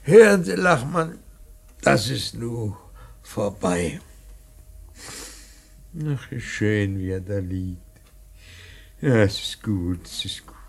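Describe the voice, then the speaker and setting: An actor playing an anguished, solemn old painter mourning his son in a classic radio play, declaiming haltingly with repeated words and a husky voice. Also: lowish voice, lively intonation, heavily acted. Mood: bitter, agitated. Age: older.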